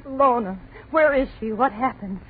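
A woman's voice: a little high, normal speed, slightly rough. An actress playing a terrified, hysterical young woman, breathless and pleading, in a 1940s radio horror drama. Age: young adult. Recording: muffled, noisy 1940s radio recording.

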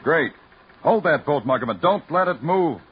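An actor playing a curt, deadpan New York police detective in an old radio crime drama. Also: male voice, fairly high pitch, moderate pace, lightly acted. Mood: impatient, curt. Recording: scratchy muffled old radio recording.

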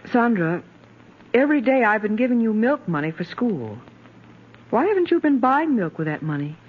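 An actress playing an earnest, strained stepmother, gently firm, in an old radio drama. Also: a little high, sing-song, normal speed, husky. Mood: firm questioning. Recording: dull vintage radio recording, faint noise.

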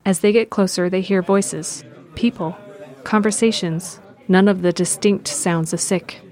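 A woman's voice: mid-range voice, fairly even intonation, a little slow, a little mellow. A woman narrating a scripted audio drama in the present tense, describing the action.

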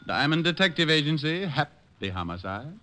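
An actor playing a suave, teasing American private detective in a mid-century radio drama, tossing off dry, flippant quips. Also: male voice, fairly high pitch, very animated, normal speed, noisy background. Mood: breezy, teasing. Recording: old radio recording with music.

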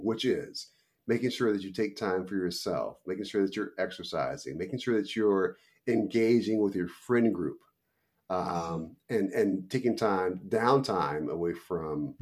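A man co-hosting a podcast, speaking in a measured, thoughtful way with fillers. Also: low-pitched, a little mellow. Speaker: podcast co-host. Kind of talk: casual interview chat.

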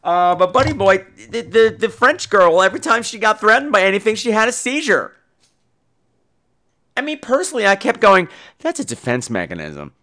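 A man hosting a podcast, chatting casually about films in an animated, theatrical, wisecracking way. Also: sits high, normal speed. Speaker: podcast host. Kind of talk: casual film chat responding to a listener call.

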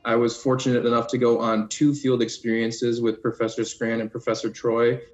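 A man's voice: mid-range voice, flat delivery, moderate pace, echoey room, lo-fi sound, faint music behind. A man in his twenties introducing himself plainly as an alumnus guest over a video call.

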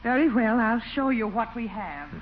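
An actress playing a prim, haughty, curt young shop clerk in a 1940s radio comedy, on a muffled, noisy recording. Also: female voice, fairly high pitch, expressive, moderate pace, close to an everyday voice. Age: young adult.

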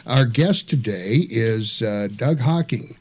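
A man hosting a radio show in a studio, casual and halting, with many fillers. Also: mid-range voice, lively intonation, darkish tone.

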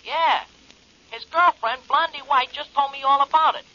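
A man's voice: very high voice, moderate pace, bright, forceful tone, full character voice. An actor playing an eager, plain-spoken male sidekick who passes on street news, in 1940s radio drama style. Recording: dull old radio recording.

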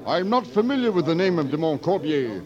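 An actor playing a stuffy, indignant military general in a 1940s radio drama. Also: male voice, fairly high pitch, sing-song, a little fast. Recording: muffled 1940s radio, crowd chatter behind.

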